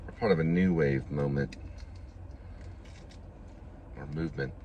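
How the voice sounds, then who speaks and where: A man's voice: extremely low pitch, measured pace, highs cut off, slight background noise. A man from Texas chatting casually as a vlogger, musing in a dry, wandering way. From Texas, United States. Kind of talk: casual rambling vlog chat.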